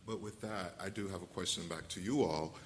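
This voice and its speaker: Deep-voiced male panelist, casual and hedging. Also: a little fast, slight background noise. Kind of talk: panel discussion. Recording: long hall reverb.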